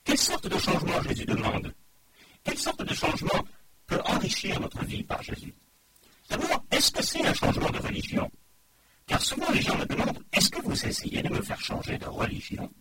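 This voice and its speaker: High, lively-voiced male preacher, noisy recording. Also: normal speed. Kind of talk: sermon. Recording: loud background noise.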